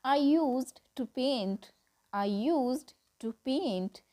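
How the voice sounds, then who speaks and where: A woman with an Indian accent reading out example sentences as an English teacher, in a deliberate, repetitive drill style. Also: high-pitched, animated, dark tone. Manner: deliberate, repeating drill delivery. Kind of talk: scripted language lesson, reading example sentences.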